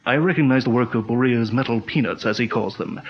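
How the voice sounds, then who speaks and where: A man playing a calm, commanding male pulp detective hero, in a measured, authoritative 1940s radio style. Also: mid-range voice, slight background noise, close to an everyday voice. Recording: dull lo-fi old-radio sound.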